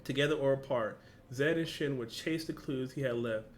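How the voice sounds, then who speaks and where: A man's voice: mid-range voice, normal speed, slight background noise. A man with a casual, slangy, informal way of talking, hosting a reaction video and reading along.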